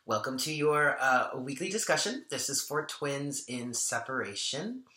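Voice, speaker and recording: Bright-voiced chatty man in his thirties. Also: mid-range voice, expressive, a little slow. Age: 30s. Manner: chatty, laughs often, rambling restarts. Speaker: spiritual channel host. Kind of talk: casual vlog talk to camera. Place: small bedroom or home room, indoors. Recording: echoey room.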